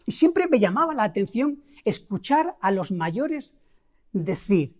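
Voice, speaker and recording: A woman's voice: medium pitch, sing-song, slow pace, a little mellow. A middle-aged woman from Spain with a Spain Spanish accent, preaching a sermon, asking the congregation rhetorical questions and stressing key words.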